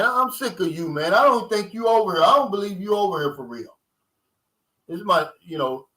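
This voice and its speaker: Bright-voiced man chatting with viewers. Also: fairly high pitch, rough recording. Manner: loose, streetwise talk, chatting with viewers. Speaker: vlogger reading live chat. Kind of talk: casual live-stream chat.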